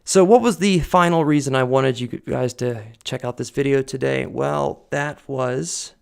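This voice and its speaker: Earnest man with a gravelly voice. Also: medium pitch, a little slow. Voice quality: loud voice. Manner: rambling, earnest, many fillers and restarts. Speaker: vlogger. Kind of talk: casual personal talk to camera. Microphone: desk condenser mic on a boom arm.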